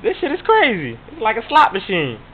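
A somewhat high-pitched man is on a tinny telephone line with faint noise. He is prank calling, posing as a customer, and he keeps insisting and cutting in. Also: wildly swooping pitch, brisk. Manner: insistent, talking over the other person, repeating himself. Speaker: prank caller. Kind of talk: prank phone call, acting as an ATM customer. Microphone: telephone call.

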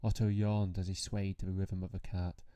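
Dark, low-lit storytelling man. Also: little pitch movement, moderate pace, dry recording, studio quality. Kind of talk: scripted story narration. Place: close, dry voice-over recording.

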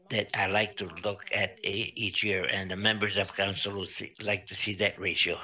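Man, auditor, slow halting delivery, monotone, crisp bright tone. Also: fairly low pitch. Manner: halting, with many pauses and fillers. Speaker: auditor presenting financial statements. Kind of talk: reading figures from slides to a council. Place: municipal council meeting over video link.

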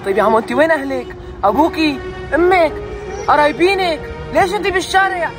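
Young Levantine man, acted for online moral drama: kind, concerned, very quick delivery, music heavy underneath. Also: extremely high pitch. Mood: concerned questioning. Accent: Levantine Arabic. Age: young adult. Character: concerned, kind young rich man helping street children. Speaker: actor in short moral skit. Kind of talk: acted social media skit. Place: outdoors in an urban car park. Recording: loud music over the voice.

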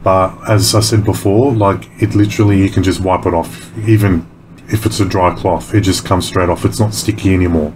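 A man casually explaining his soap making in a kitchen, relaxed and chatty with many pauses and ums. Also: sits low, roomy sound, background noise. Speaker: soap maker. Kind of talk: casual how-to narration.

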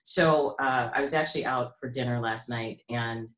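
A middle-aged American woman with a rich, low voice, explaining her work in a measured, conversational interview style on a video call. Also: fairly bright tone. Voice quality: rich, deep, low register. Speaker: guest, business consultant. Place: small room indoors at home or office. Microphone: computer mic on a video call. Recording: roomy, echoey video call sound.

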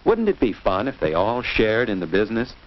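An American man narrates a 1950s instructional film for children in a lowish, very animated voice. He speaks didactically from a script, posing simple questions to his young audience. The old soundtrack is dull and muffled. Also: fairly quick, a little hiss or hum.

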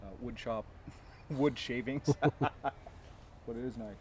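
A man's voice: mid-range voice, fairly even intonation, slow pace, darkish tone. A man in his thirties chatting casually as a guest, sitting outdoors. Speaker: guest, fellow re-enactor. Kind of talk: casual chat. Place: outdoors in a leafy backyard. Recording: clear outdoor ambient noise, vehicles.

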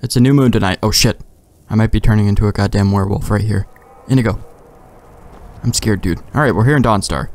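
Male let's play host, lower register, loose joking commentary, casual profanity. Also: quick pace, darkish tone. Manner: sweary, joking, talks back to game characters.

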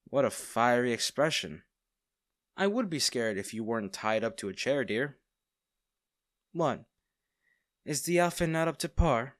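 A man's voice: mid-range voice, expressive, a bit bright, dry recording. A man playing a smitten villain, close and dry. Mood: teasing, smug. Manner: teasing, theatrical, pausing, addressing the listener intimately. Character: male villain, teasing, smitten captor of a hero. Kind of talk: audio roleplay acting, intimate one-sided dialogue.

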